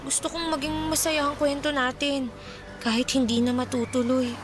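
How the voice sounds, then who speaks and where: Woman playing a high-voiced, sensible young schoolgirl. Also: steady tone, normal speed, over music, close to an everyday voice. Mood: gently resigned. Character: Bebeng, sensible young schoolgirl in first puppy love. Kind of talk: Tagalog radio romance drama acting.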